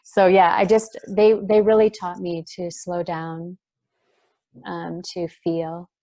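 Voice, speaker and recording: Soft spoken lady, lowish voice, gentle, lots of um and like while she thinks. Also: level tone, slow pace. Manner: gentle, searching, many fillers and restarts. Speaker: guest, breathwork trainer. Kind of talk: reflective interview talk.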